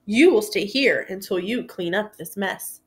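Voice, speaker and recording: A woman's voice: medium pitch, animated, unhurried, natural voice. A woman voicing a firm female dinosaur schoolteacher in a storybook, scolding matter-of-factly. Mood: stern.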